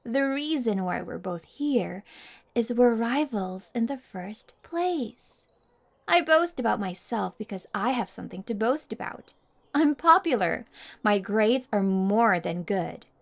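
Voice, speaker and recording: Casting: actress as mean popular schoolgirl for intimate ASMR roleplay. Highish, very lively intonation; smug, taunting, condescending. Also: heavily acted. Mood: boastful. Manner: taunting, boastful, condescending, drawn-out teasing. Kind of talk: intimate ASMR roleplay monologue addressed to listener.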